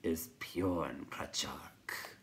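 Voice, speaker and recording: A woman voicing a huge, blunt rock creature in a slow, crackly, gravelly whisper for a storybook. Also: a bass voice, steady tone, heavily acted. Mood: gruff, curious. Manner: slow, drawn-out delivery.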